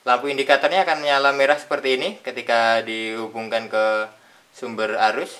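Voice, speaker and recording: Bright-voiced man explaining a tool. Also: mid-range voice, little pitch movement. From Indonesia. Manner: plain step-by-step explaining with pauses. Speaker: product reviewer. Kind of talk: unboxing product demonstration. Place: indoors at a tabletop.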